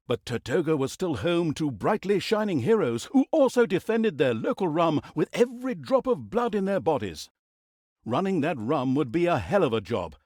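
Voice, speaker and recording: A man's voice: a little high, a little mellow, no room sound, clean studio sound. A man playing a mock-grand, sardonic narrator of a villain's exploits in a fantasy video game, telling the story in a hammy theatrical way.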